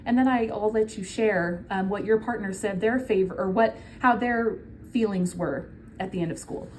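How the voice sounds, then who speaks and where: A woman's voice: medium pitch, normal speed, darkish tone, a little hiss or hum. A woman reading a children's book aloud like a teacher, explaining simply and prompting her listeners with questions.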